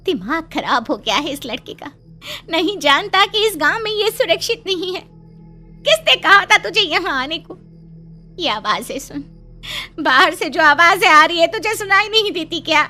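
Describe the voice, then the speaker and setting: Lady doing worried scolding village mom, really high n piercing, cartoon horror story, faint music behind. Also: sing-song, moderate pace, sharp tone, exaggerated voice. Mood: scolding, alarmed. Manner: fretful, scolding. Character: anxious, scolding village mother of a teenage son. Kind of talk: Hindi animated horror story voice acting.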